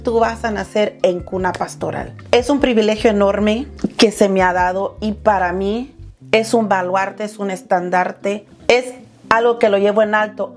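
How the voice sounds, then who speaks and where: A woman's voice: medium pitch, darkish tone. A Salvadoran woman in her thirties, hosting her channel and telling her life story in a heartfelt, personal way, calling her viewers "chulis". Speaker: channel host.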